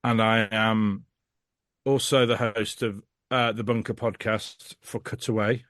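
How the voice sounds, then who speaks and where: A man with a fairly low voice, recorded dry with no room sound. He talks as a podcast guest in a casual, opinionated and blunt conversational way. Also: little pitch movement, a little slow, a bit bright, no echo. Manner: blunt, sweary.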